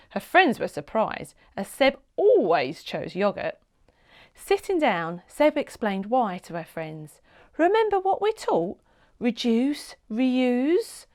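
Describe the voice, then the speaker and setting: A woman reading a children's storybook aloud as an expressive read-along narrator, with dramatic emphasis. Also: on the high side.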